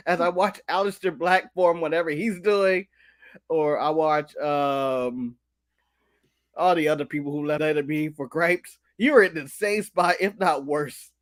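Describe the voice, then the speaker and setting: High-voiced man ranting about wrestling. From United States. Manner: animated, ranting, laughs at his own jokes. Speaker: podcast co-host. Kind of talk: casual wrestling recap chat.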